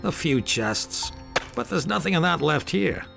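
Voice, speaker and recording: An actor voicing a weathered, stubborn veteran miner in a game, with a gravelly, world-weary manner. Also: male voice, fairly high pitch, very animated, measured pace, music in the background, heavily acted. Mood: gruff. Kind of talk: game character dialogue.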